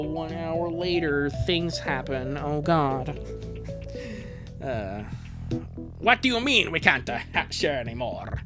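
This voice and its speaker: A man chatting casually on a live stream, sarcastic and prone to swearing. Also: on the high side. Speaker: streamer reading fan fiction aloud.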